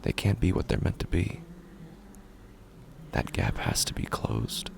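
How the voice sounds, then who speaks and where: A man playing a grieving, sleepless man in a horror audio drama, brooding and halting, in a gravelly, breathy near-whisper. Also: slight background noise, lightly acted. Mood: hushed, haunted. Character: grieving, hollow, sleepless man wandering at night. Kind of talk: scripted first-person horror audio fiction narration.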